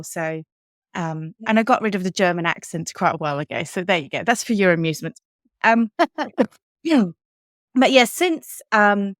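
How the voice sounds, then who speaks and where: A woman's voice: fairly low pitch, smooth, no echo, clean studio sound. A woman in her thirties with an English accent, co-hosting a podcast and chatting candidly, laughing often. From Switzerland. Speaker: podcast co-host. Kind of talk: casual chat.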